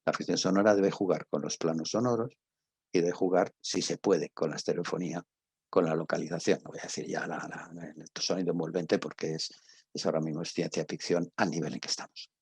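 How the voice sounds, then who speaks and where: A man with a Castilian Spanish accent and a husky voice, giving an informal lecture as a teacher, explaining haltingly with digressions and check-in questions. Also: deep voice, steady tone, a little mellow, no room sound. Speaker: teacher of radio drama.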